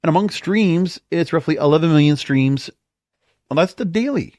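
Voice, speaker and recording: Man with lively, varied pitch, talking off-the-cuff. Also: normal speed, no room sound, studio quality. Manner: off-the-cuff, thinking aloud while reading figures. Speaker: podcast host. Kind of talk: casual music chart commentary.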